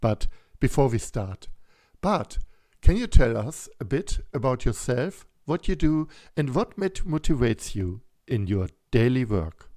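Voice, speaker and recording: Host, slow and deliberate. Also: male voice, medium pitch, sing-song, warm tone, dry recording. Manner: reads carefully, slow deliberate English. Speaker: podcast host. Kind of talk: scripted intro and interview questions. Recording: dry close mic with faint music.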